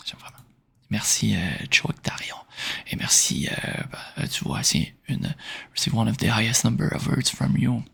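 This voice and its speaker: A Québécois man speaks softly in an ASMR question-and-answer style. His voice slips into whispers, very breathy with a crackly fry at the edges. He talks informally and hesitantly, improvising as he goes, at a middling pitch. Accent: Québécois French. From Quebec, Canada. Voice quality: whispery, breathy, soft-spoken. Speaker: ASMR video creator answering viewer questions.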